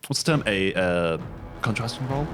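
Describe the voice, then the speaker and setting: A man narrating a tabletop game as game master, halting and dramatic as he sets the scene. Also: on the low side, animated. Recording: music behind the voice. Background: background music.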